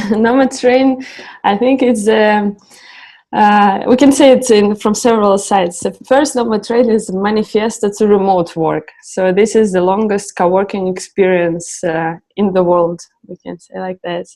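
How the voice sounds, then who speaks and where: Woman, halting interview English. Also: medium pitch, fairly even intonation, darkish tone. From Russia. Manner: hesitant, many fillers and restarts. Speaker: guest, co-founder of a travel project.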